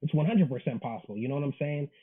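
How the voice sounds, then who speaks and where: A man's voice: mid-range voice, expressive, rapid-fire, breathy edge. A man in his early twenties chatting casually as an interview guest, earnest, emphatic and slangy, over tinny call audio. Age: early 20s. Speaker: interview guest, manga creator. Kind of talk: casual chat.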